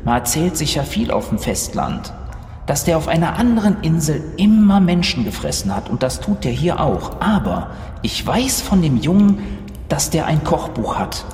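A man improvises casual dialogue as a player in an adventure role-play. His voice is slightly high and very animated in pitch, heard in a reverberant space with faint noise. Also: moderate pace, soft background music.

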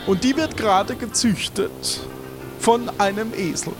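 A man voicing a haughty, pompous king who addresses common folk in a drawn-out, regal way, in an improvised adventure audio play. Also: sits high, music in the background. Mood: condescending. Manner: pompous, drawn-out royal address in third person.

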